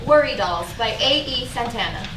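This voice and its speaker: High-voiced woman narrator, echoey and noisy. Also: expressive, moderate pace. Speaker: stage directions reader. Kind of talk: scripted narration at a staged reading. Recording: echoey room, distant mic, clear noise.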